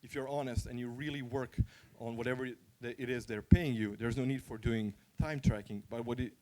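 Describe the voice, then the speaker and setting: Male conference speaker, Croatian accent, mid-pitched voice. Candid, informal, anecdotal delivery, conversational throughout. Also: fairly even intonation, brisk, a little mellow, a little hiss or hum.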